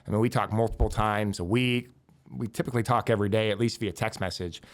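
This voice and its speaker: A man's voice: lowish voice, fairly even intonation, fast. Earnest man hosting a podcast. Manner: earnest, self-deprecating, with fillers and restarts. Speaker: podcast host and county commissioner. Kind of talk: podcast interview.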